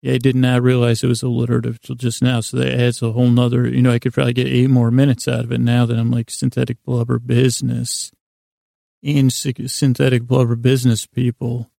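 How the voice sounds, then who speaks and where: A man rambles through a whimsical sleep podcast monologue in a deeply gravelly, creaking voice. His tone is dark and relaxed, recorded close and dry. He halts, loses the thread and circles back in an absent-minded way. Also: no room sound. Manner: meandering, halting, absent-minded, trailing off and doubling back. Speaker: podcast host.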